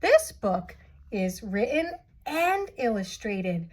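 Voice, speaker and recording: A woman reading aloud to young children in an expressive, theatrical way, with exaggerated reactions. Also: fairly high pitch, slow. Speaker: children's story reader.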